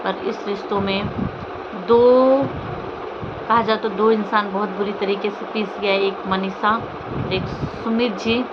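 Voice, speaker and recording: A woman vlogger gives unscripted, scolding commentary in a mid-pitched voice. She sounds indignant and often puts rhetorical questions to the listener. The sound is muffled and lo-fi, with very loud vehicle and ambient noise around her. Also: little pitch movement, a little slow. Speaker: social media vlogger commenting on online drama. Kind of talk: unscripted opinion commentary on a personal scandal.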